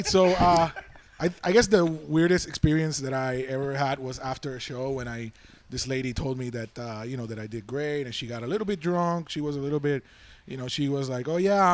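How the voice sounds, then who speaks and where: Man in his thirties, halting. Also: a little high, lively intonation. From Puerto Rico. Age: 30s. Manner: halting, filler-heavy storytelling. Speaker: stand-up comedian. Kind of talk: casual panel chat.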